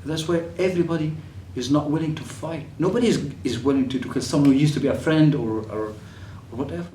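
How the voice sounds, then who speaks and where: A man's voice: a little high, fast, dark tone, a little hiss or hum. Hesitant young man. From Syria. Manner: hesitant, many fillers and restarts. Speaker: refugee telling his story. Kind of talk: personal testimony. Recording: echoey room, mic at a distance.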